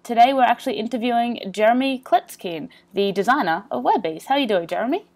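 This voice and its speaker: A woman's voice: a little high, animated, quick pace, a little mellow. A woman hosting a podcast interview, asking questions in a friendly, upbeat way. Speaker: podcast host.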